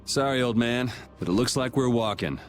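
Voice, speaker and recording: A man's voice: mid-range voice, moderate pace, soft background music, close to an everyday voice. Man acting a cool, dry young hero. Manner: casual, dry, firm. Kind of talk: video game voice acting.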